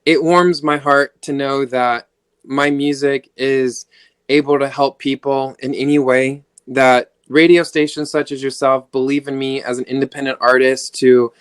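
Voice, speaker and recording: Young male singer, earnest and grateful, smooth voice, dry close sound on a video call. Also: mid-range voice, flat delivery, unhurried, dry recording. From United States. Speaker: singer-songwriter guest. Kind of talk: interview over video call.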